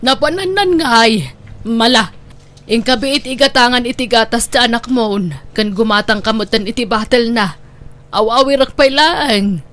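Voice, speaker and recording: A woman's voice: a little high, moderate pace, fairly bright tone, lightly acted. A woman with an Ilocano Filipino accent playing an older, motherly neighbour, earnest and advising, in audio drama style. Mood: worried.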